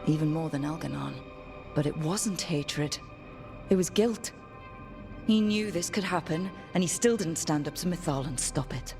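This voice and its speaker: A woman playing an earnest, resolute adventurer in a fantasy video game, speaking deliberately. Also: deep voice, music in the background. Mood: serious.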